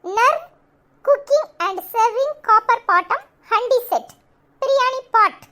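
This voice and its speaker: Squeaky, chirpy cartoon child presenter. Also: female voice, lively intonation, very slow, bright, forceful tone, heavily acted. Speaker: product presenter. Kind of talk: scripted shopping promotion.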